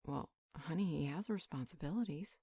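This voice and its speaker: A woman's voice: low voice, normal speed, dark tone, slightly rough, no echo, clean studio sound. A woman playing a nurturing, protective magical healer, speaking in a soothing, affectionate, informal way in a roleplay audio drama. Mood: reassuring, reasoning.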